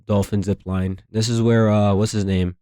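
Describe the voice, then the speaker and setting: A man giving casual, rambling commentary as a host, slangy, repeating himself and thinking out loud. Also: on the low side, level tone, normal speed, no echo, clean studio sound. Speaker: theory video host reacting to horror series.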